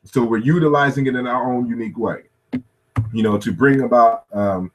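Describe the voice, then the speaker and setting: A man's voice: medium pitch, moderate pace, slight background noise, poor audio. A man lecturing through slides at a workshop, thinking aloud with many "you know" fillers and restarts. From United States.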